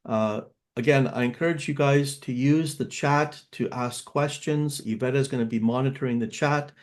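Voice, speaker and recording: A man's voice: medium pitch, moderate pace. A man in his fifties who grew up in Canada, hosting an online seminar as a financial advisor and speaking in a casual, conversational way with frequent filler words. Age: 50s.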